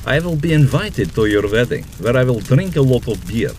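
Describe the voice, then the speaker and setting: A man's voice: mid-range voice, sing-song, a little fast, background noise. A man serving as narrator, reading scripted audio drama narration in a storytelling style. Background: music.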